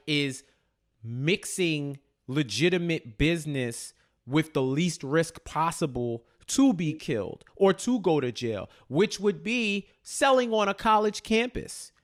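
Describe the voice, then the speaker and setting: A man with a fairly high voice co-hosts a casual show recap. He is emphatic and slangy, often repeating a phrase to drive it home. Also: lively intonation, measured pace. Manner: colloquial, slangy. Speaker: podcast co-host.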